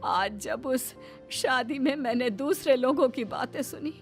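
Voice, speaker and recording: A woman's voice: high-pitched, measured pace. A woman voicing an older mother-in-law in a cartoon moral story, in a dramatic, earnest style. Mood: remorseful, earnest. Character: older mother-in-law, stern then remorseful and caring. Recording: background music under the voice.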